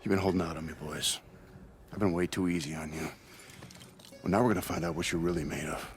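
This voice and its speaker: An actor playing a hard, menacing American army sergeant with a gravelly, taunting delivery in a war game. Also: male voice, low voice, fairly even intonation, fast, lightly acted. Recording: music behind the dialogue.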